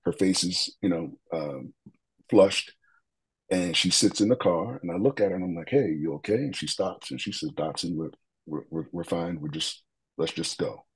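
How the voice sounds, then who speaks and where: A man with a deep voice, a guest in an interview, telling an earnest, reflective story with frequent fillers and restarts. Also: moderate pace, rough recording. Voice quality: deep, husky voice.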